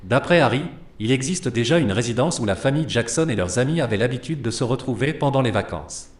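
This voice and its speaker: A man's voice: mid-range voice, expressive, fast, close to an everyday voice. Resolute male leader in echoey hall, acted. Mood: assured, businesslike. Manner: formal, assured. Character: resolute leader recruiting men for vengeance. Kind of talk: formal audio drama dialogue. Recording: very echoey room.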